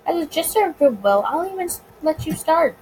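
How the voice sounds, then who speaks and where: A suave, teasing bootlegger gentleman from a visual novel, read out by a woman. The voice is fairly high and very animated, with polite, self-assured phrasing. Noise sits in the background. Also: fairly quick, low-quality recording. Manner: courteous, wordy, self-assured. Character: suave, smug, teasing male gangster bootlegger of 1920s America.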